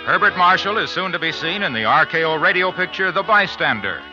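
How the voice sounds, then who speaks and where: A man's voice: a little high, big pitch swings, fairly quick, over music. An American man announcing for a sponsor in emphatic, polished 1950s radio commercial style. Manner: emphatic, polished salesman delivery. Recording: dull vintage radio recording.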